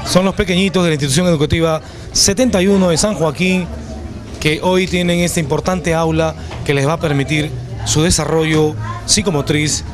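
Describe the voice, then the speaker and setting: A man's voice: on the high side, fairly even intonation, crowd noise. A man with a Peruvian accent reporting from a school event with a handheld mic, narrating and asking questions. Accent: Peruvian Spanish. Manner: brisk on-the-spot narration. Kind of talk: news reporting and interviews. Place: crowded school classroom indoors. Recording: music bed behind the voice.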